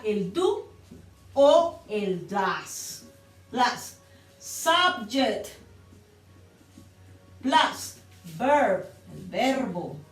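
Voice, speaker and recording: A woman's voice: on the high side, roomy sound. A woman explaining English grammar step by step, patient and repetitive, like a teacher at a board. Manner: patient, repeats and spells out steps.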